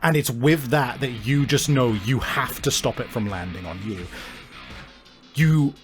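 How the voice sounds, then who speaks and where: Male game master, medium pitch, slow halting narration of dark scenes over faint guitar music. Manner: halting, deliberate, searching for words. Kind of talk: improvised narration of a tabletop horror game.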